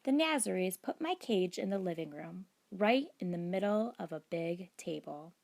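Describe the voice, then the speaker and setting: A woman reading a children's book aloud as narrator, in a deliberate, expressive storytelling manner. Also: on the low side, gravelly. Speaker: storybook reader.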